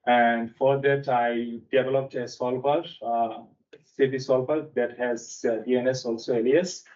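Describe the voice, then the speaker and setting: Halting man giving a technical lecture. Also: mid-range voice, fairly even intonation, a little mellow. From Bangladesh. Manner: halting, frequent fillers. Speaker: seminar speaker. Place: conference room. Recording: distant mic, dull sound.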